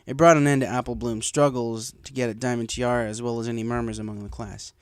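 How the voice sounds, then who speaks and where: A man's voice: medium pitch, fairly even intonation, quick pace, dry recording. A man reading a story aloud as scripted narration. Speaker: fan fiction reader. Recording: clear background noise.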